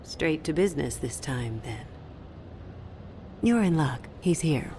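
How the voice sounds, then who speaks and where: An actress playing the smooth female hostess of a seedy club, speaking in a hushed, breathy, suggestive way. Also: deep voice, expressive, takes it slowly, slight background noise. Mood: teasing.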